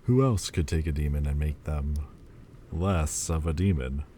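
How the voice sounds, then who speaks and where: A man voicing an ancient male demon lord, quietly confessing in a slow, halting way in an audio roleplay. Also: rumbling low, slight background noise. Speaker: voice actor.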